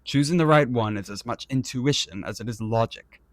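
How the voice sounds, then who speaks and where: An actor playing an arrogant, vain and petulant prince in a fantasy audio roleplay, speaking in a pompous, theatrical and condescending manner. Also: male voice, medium pitch, moderate pace, no room sound, lightly acted. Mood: petulant, indignant. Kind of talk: scripted ASMR roleplay audio drama.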